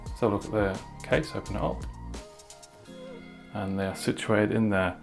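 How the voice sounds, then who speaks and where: A man's voice: fairly low pitch, steady tone, normal speed, gravelly, faint music behind. A man talking casually through a product unboxing and review in an informal way, with short asides.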